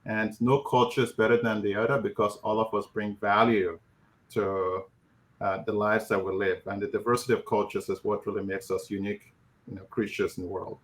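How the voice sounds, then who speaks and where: A man with a Nigerian accent, answering as a panelist in a measured, reflective way. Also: on the low side, little pitch movement.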